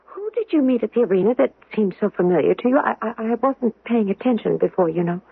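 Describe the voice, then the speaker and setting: An actress playing an excitable, incredulous Roman woman friend in an exclamatory, theatrical old-time radio drama style. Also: on the high side, fast, creaky, husky, no echo, full character voice. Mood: incredulous, curious. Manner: exclamatory, theatrical, stammering when flustered. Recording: muffled vintage 1970s radio broadcast.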